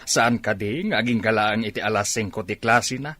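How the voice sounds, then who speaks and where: A man acting as a stern, ambitious middle-aged father in a radio drama, speaking with deliberate emphasis. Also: lowish voice, sharp tone, soft background music. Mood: expectant, proud.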